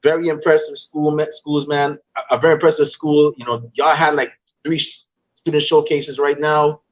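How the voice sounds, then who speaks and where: A young man from Guyana hosting a casual interview, animated and playful, talking in easy slang. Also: a little high, moderate pace, highs cut off. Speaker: podcast host.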